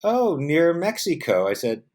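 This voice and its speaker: A man's voice: a little high, a little slow, a little hiss or hum. A middle-aged man with an American accent giving casual, anecdotal interview answers, animatedly acting out bits of dialogue. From San Diego, United States. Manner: animated storyteller, acts out quoted dialogue. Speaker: interview guest, film production professional.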